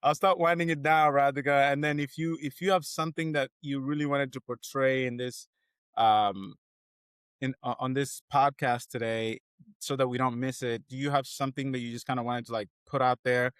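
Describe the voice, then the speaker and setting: A man's voice: mid-range voice, fairly even intonation, moderate pace, a bit bright, smooth, dry recording. A man hosting a podcast interview, talking casually in a loose, rambling way. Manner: rambling, loose, full of fillers and restarts. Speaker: podcast host.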